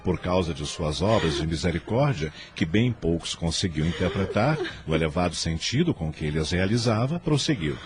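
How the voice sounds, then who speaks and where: A man's voice: deep voice, animated, moderate pace, hardly any pauses. A man narrating a scripted radio drama in a solemn, dramatic storytelling manner, on a noisy radio recording.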